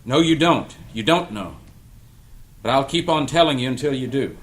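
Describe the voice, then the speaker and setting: A middle-aged man playing a protective, firm and caring mentor in an audio drama read-through, with an emphatic, deliberate delivery. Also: mid-range voice, expressive, a little hiss or hum, close to an everyday voice. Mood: intense, caring. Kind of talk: audio drama cast reading.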